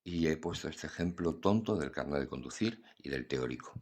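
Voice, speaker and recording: A man's voice: rumbling low, animated, normal speed, darkish tone. An older man, talking bluntly and earnestly about acceptance in a casual philosophical monologue. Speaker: podcast host.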